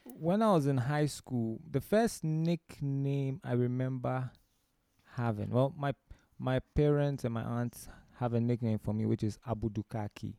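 A man's voice: mid-range voice, measured pace, creaky, warm tone, no room sound, studio quality. A man with a Ghanaian accent, a musician telling anecdotes in a discursive way as an interview guest. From Ghana, born in Romania. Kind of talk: conversational interview storytelling.

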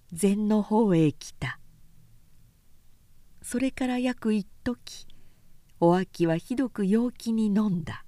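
A woman narrator reading a literary story in a gentle, measured manner. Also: mid-range voice, no echo.

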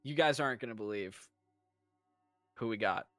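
A man's voice: on the low side, normal speed, a bit bright, no room sound, faint music behind. A man co-hosting a podcast, joking crudely, swearing freely and laughing often. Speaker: podcast co-host. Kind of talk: casual podcast chat.

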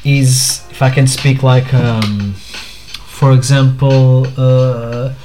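Man, mid-pitched, halting solo vlog, faint music behind. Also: steady tone, mellow. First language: Portuguese. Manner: hesitant, many fillers and restarts. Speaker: podcast host.

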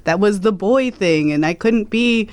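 A woman in her thirties talks in a casual group chat. She is lively and self-mocking and often laughs between her words. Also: medium pitch, measured pace, dry recording. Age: 30s. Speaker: panelist.

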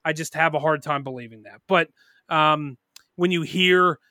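Podcast co-host, early 30s man, bright forceful tone, dry close sound, rambling and profane. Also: fairly high pitch, moderate pace, dry recording. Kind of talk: casual podcast chat.

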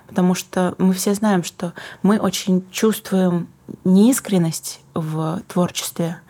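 Low, husky-voiced young woman, reflective. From Kazakhstan. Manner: thoughtful, searching for words, soft asides. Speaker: guest, singer and book publisher. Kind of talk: reflective interview answers.

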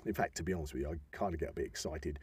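A man's voice: rumbling low, rapid-fire, a little mellow, breathy edge, no room sound, studio quality. A man with an English accent hosting a casual podcast, talking in a discursive, explanatory way. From England. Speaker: podcast host.

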